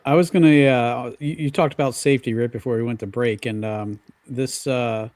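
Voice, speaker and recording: A man chatting on a podcast panel, explaining things in an earnest, halting way. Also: medium pitch, steady tone, a little mellow, no room sound. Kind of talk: casual podcast chat.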